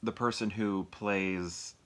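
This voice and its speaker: A man's voice: fairly low pitch, steady tone, slow. A man chatting casually and off the cuff to viewers as a movie reviewer, rambling and thinking aloud as he questions himself. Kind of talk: casual impromptu chat to viewers.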